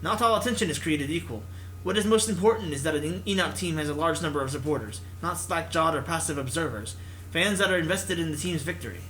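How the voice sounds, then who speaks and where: A man voicing a stern, disappointed corporate executive who speaks in a formal, cutting, measured way. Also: fairly high pitch, fairly bright tone, slight background noise, lightly acted, poor audio. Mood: cold, disapproving.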